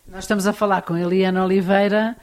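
A woman's voice: mid-range voice, little pitch movement, quick pace. Portuguese woman interviewer. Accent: European Portuguese. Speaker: interviewer, show host. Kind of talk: interview questioning.

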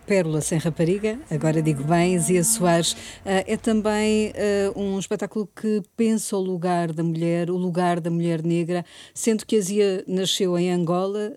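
A woman hosting a radio arts program, measured as she introduces guests and shows. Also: on the low side, mellow, no echo, clean studio sound.

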